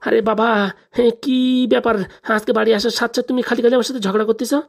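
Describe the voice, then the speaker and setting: Man acting a browbeaten small-time politician husband, cartoon comedy, rapid, placating, speech. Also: extremely high pitch, level tone, creaky, exaggerated voice. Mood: exasperated. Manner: protesting, plaintive, placating.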